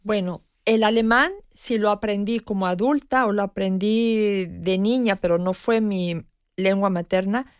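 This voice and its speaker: Thoughtful woman in her fifties, unhurried. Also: medium pitch, clean tone, no room sound, studio quality. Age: 50s. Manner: thoughtful, measured, with frequent pauses and hesitations. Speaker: guest expert on language learning. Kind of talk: radio conversation.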